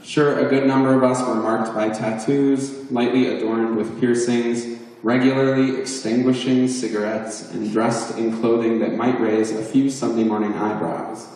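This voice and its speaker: A man's voice: medium pitch, fairly even intonation, moderate pace, a little mellow. A man reads his own memoir aloud at a live event, his voice ringing through a very echoey chapel with long reverb. His phrasing is literary and articulate, with a wry edge, and he is heard from some distance. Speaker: author reading at a book event. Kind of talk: live reading from a written memoir. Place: chapel, indoors, at a live book release. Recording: echoey chapel, picked up from far off.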